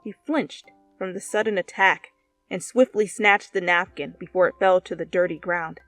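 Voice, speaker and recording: A woman reading fan fiction aloud as an expressive second-person story narration. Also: fairly low pitch, normal speed, soft background music.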